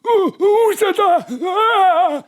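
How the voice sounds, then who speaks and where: A man playing an excitable, hallucinating pub landlord in a comic audio drama. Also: very high voice, very slow, heavily acted. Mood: panicked, tripping.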